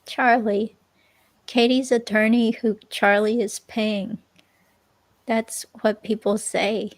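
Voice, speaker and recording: Woman reading as a questioned ex-girlfriend, slightly high voice, slow pace, hesitant, curious, casual interview reenactment. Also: level tone. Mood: curious, cautious. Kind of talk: dramatic reading of an interview transcript.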